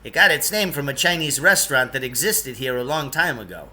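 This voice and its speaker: Man putting on a bright, jumpy, fussy guide. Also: medium pitch, animated, fairly quick. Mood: explaining. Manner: hesitant stammering, then emphatic and touchy. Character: jumpy, fussy, proud male guide from another world.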